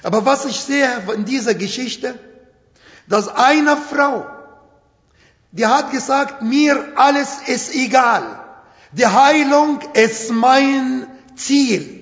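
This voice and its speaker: A middle-aged Arabic-accented preacher with a fairly high voice gives a slow, emphatic sermon in imperfect German. The sound is dull and carries a long hall reverb, as in a church hall. He is a native Arabic speaker. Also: fairly bright tone.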